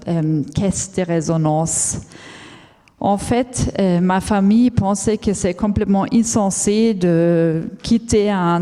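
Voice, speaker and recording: A woman who is not a native French speaker tells her own story as a conference talk, careful and halting, with many fillers and restarts. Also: lowish voice, warm tone. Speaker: entrepreneur giving a conference talk. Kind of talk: personal story told as a talk. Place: large lecture hall. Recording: long reverb of a large hall.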